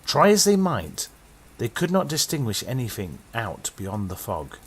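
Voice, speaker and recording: A man's voice: wildly swooping pitch, measured pace, husky. A British man narrating a horror story in a dramatic storytelling manner. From Britain. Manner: dramatic storytelling with sweeping pitch.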